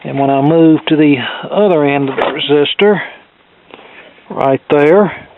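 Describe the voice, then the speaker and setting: A man giving a hands-on repair tutorial as an instructor, explaining each step in a methodical, measured way. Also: a little high, background noise. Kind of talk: hands-on repair tutorial, talking through steps. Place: indoor cluttered electronics workbench. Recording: thin phone-like band-limited sound.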